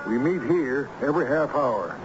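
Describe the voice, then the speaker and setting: A man's voice: medium pitch, lively intonation, normal speed, close to an everyday voice. An actor playing a scared but practical man organising a search in a vintage radio drama. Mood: urgent, businesslike. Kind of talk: old-time radio drama acting. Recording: muffled, noisy old radio recording.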